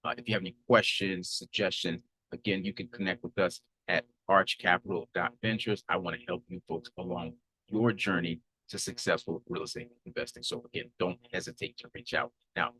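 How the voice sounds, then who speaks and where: A man's voice: low voice, steady tone, fairly quick, low-quality recording. A man in his thirties, from Haiti and raised in Florida, hosting a podcast and introducing himself in an upbeat, earnest way with frequent fillers and restarts. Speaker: podcast host.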